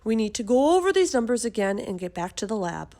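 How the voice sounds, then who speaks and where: Woman putting on a pompous, high-pitched male expert. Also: big pitch swings, quick pace, mellow. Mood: evasive. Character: self-important male medical experts, baffled but authoritative.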